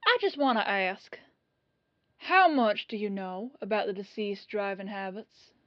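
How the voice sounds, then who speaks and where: A woman's voice: mid-range voice, unhurried, dry recording, close to an everyday voice. Woman doing a lady detective in an audio drama, acts casual but suspicious, dry slightly muffled sound. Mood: businesslike probing. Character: dogged female police detective, casually probing, quietly suspicious. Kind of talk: scripted audio roleplay.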